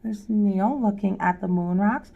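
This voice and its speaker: A woman's voice: mid-range voice, gravelly, phone-quality sound. A woman reading a children's picture book aloud as a storytime reader, addressing young listeners directly. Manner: pauses to ask young listeners questions.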